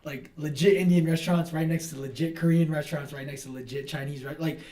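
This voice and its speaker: High-pitched New man, casual podcast host. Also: fairly even intonation, fast talker, mellow. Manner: slangy, peppered with "man", "dude" and "like". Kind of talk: casual conversational interview. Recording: roomy, echoing sound.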